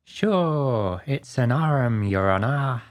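A woman's voice: extremely low pitch, expressive, extremely slow, dry recording, studio quality, pitched below the performer's own voice, full character voice. A woman voicing a meek, simple male servant, hesitant and deferential, in a storybook reading. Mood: meek, earnest.

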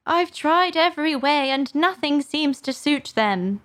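Shrill, frantic scolding mother pigeon. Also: big pitch swings, measured pace, fairly bright tone, full character voice. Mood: sobbing frustration. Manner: indignant, accusatory, exclamatory. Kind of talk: storybook character voice.

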